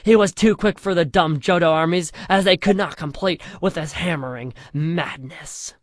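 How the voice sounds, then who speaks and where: A storyteller giving a comedic reading of a crude parody fan story in a mock-epic way, shouting the dialogue and dropping low for the asides. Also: normal speed, dry recording, clean studio sound.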